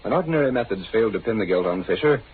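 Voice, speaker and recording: A man's voice: on the low side, animated, very fast. A man announcing and narrating an old radio serial in a formal, dramatic scripted style. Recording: noisy, tinny old radio recording.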